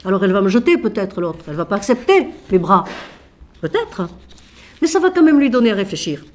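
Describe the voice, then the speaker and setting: A woman, a Franciscan sister and author, talks with feeling about her book, swinging from emphatic questions to quiet asides while she has a cold. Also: medium pitch, brisk. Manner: expressive, shifting from loud emphasis to quiet asides; asks rhetorical questions.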